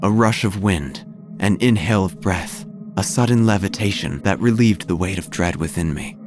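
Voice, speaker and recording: A man playing a quirky investigator who narrates his own tale in a theatrical, deadpan, wry way. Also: low-pitched, moderate pace, natural voice. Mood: ominous, melodramatic. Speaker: narrator and lead actor of an audio drama. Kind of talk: scripted first-person noir-like narration. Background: music.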